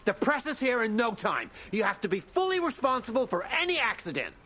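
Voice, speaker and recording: Rude suspicious official for a game, high sharp voice, swoops around, demanding. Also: male voice, sing-song, fairly quick, exaggerated voice. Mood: contemptuous. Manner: sneering, demanding. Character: brusque, suspicious, contemptuous male official. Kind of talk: scripted video game voice acting. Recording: faint background noise.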